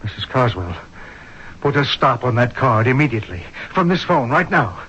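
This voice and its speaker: Husky, urgent hospital doctor. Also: male voice, mid-range voice, very animated, moderate pace, fairly bright tone. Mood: pressing. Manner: authoritative, emphatic. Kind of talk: 1970s radio drama acting. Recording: muffled vintage radio broadcast.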